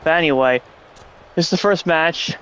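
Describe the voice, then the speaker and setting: Sniffly guy with a cold rambling through a show recap, kinda sarcastic, voice a bit high. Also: moderate pace, a bit bright, low-quality recording. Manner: rambling, sarcastic, often annoyed. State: stuffy nose, coming down with a cold. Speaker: podcast host. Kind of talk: casual wrestling review chat. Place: at home.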